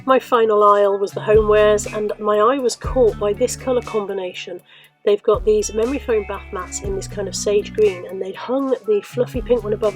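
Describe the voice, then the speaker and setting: A woman with a cold, sounding croaky and sniffly, chatting casually to viewers about her shopping with self-mocking asides. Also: medium pitch, poor audio. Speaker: vlogger. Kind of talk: chatty shopping haul vlog.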